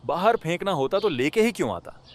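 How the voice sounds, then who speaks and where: A man's voice: sits high, sing-song, fairly quick, slight background noise. A man playing a tender-hearted poor villager in a cartoon moral story, with exclamatory, rustic colloquial speech. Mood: pleading, indignant. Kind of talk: animated moral story acting.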